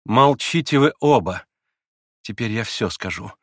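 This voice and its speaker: A man reading an audiobook and voicing a passionate young woman who is proud, emotional and quick to flare. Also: lowish voice, expressive, fairly bright tone, dry recording, studio quality, exaggerated voice. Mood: fierce.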